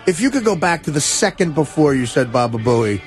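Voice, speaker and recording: A man's voice: on the high side, big pitch swings, fairly quick, faint music behind. A man co-hosting a radio show, teasing the others with dry jokes. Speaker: radio co-host.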